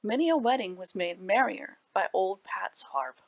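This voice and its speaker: A woman reading aloud to children as a library storyteller, animated and dramatic with deliberate phrasing. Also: medium pitch, no room sound.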